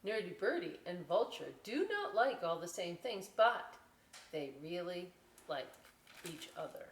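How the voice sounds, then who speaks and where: A woman reading a picture book aloud as a story time host, expressive, with chatty questioning asides to young listeners. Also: on the low side, a little slow, recorded from a distance, slight background noise. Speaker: library story time reader. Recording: echoey room, away from the mic.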